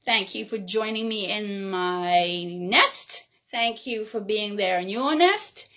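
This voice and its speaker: A woman reading a children's story aloud in an expressive, whimsical way, with chatty, playful asides. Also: medium pitch, slow. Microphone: phone.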